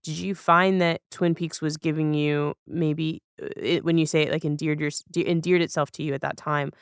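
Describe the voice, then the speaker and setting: A woman's voice: deep voice, fairly even intonation, moderate pace, clear, resonant tone, no echo, clean studio sound. A woman hosting a podcast in a casual, reflective way, rambling and correcting herself as she forms questions. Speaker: podcast host.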